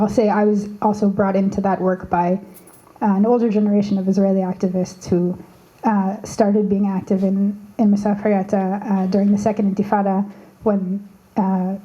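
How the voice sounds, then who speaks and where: A woman from Canada, probably in her thirties, answering as a panelist, thoughtful with many pauses and fillers. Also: medium pitch, warm tone, dull audio.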